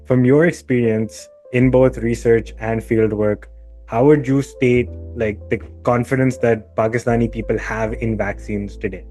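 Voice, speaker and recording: A man's voice: mid-range voice, steady tone, a little slow, warm tone, soft background music. A man hosting a podcast, introducing the subject and putting questions in a conversational way. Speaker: podcast host.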